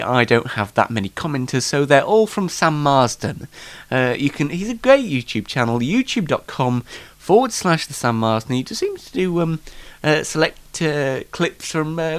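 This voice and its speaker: A British man hosts his own homemade talk show alone at the microphone, with a slightly blocked nose. His pitch jumps around in an animated way as he rambles without a script, poking fun at himself and sounding mock-exasperated. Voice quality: congested, bunged-up nose. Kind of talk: casual, unrehearsed solo show chat. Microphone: microphone, speaking alone.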